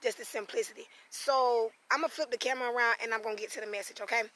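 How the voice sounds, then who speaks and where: Hoarse chatty woman on a phone live stream. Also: on the high side, fairly even intonation, bright, forceful tone. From South Carolina, USA. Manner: chatty, greets viewers by name, drawn-out asides. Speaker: spiritual reader and vlogger. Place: outdoors on family land in the country. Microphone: phone camera.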